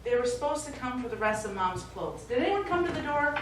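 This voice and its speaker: A grown daughter, played by a woman in a live one-act play, caring yet exasperated with her father. Her voice is slightly high and insistent. The recording is echoey and distant, with faint noise. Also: very animated, a little fast. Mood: frustrated. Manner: insistent, pointed questioning. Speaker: stage actor. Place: small theatre stage.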